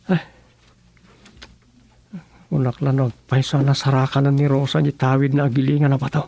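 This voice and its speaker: A man's voice: medium pitch, monotone, moderate pace, vocal fry, warm tone, dry recording. A man playing a lovesick, plaintive village husband who mutters to himself in a radio comedy drama. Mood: flustered.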